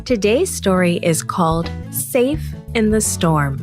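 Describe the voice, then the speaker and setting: A woman reading a scripted children's Bible story in a measured, careful read-aloud manner. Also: on the low side, sing-song, loud music behind the voice.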